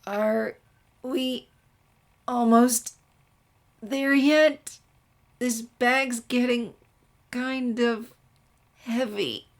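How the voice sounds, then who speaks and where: A woman voicing an excitable, bookish teenage girl with an eager, gushing manner in a story reading. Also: on the high side, extremely slow, pauses often, voice pushed up. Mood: tired, whiny. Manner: gasping, gushing, eager questions.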